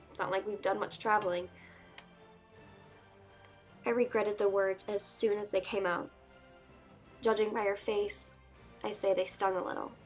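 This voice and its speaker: Woman as teenage girl narrator, fantasy audiobook, sardonic yet wistful, medium pitch, music underneath. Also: lightly acted. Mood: reflective. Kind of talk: first-person dramatic book reading.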